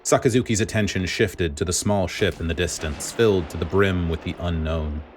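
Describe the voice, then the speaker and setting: A man reading a story aloud as dramatic scripted narration, in a grave, deliberate manner. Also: low-pitched, slight background noise. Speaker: podfic reader.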